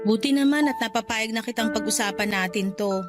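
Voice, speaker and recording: A woman's voice: a little high, fairly quick, loud music behind the voice, lightly acted. A woman playing a jealous, hurt, defensive wife in a Filipino radio drama, arguing and pleading with a Filipino accent. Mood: weary, pleading. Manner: argumentative, pleading.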